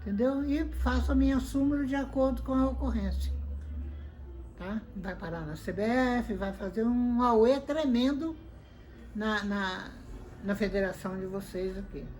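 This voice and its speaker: A woman's voice: a little high, a little slow, a little mellow. An older woman from Brazil, a former football referee, telling stories in conversation and acting out both sides of the quoted exchanges. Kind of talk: conversational storytelling.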